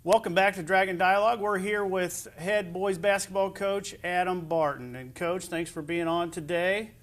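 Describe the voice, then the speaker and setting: Male sports interview host, somewhat high-pitched, conversational delivery. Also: fairly even intonation, normal speed, fairly bright tone, a little hiss or hum.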